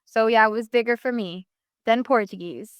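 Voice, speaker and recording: A woman's voice: a little high, normal speed, smooth, no room sound, lo-fi sound. A young woman from the United States, a guest talking casually in an interview, rambling with many fillers and restarts.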